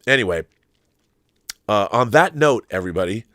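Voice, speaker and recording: Low-voiced middle-aged American man, dry close sound. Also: expressive, normal speed, fairly bright tone, no echo. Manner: rambling, conversational, frequently restarting sentences. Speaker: host of a live YouTube show. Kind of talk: casual livestream monologue answering viewer comments.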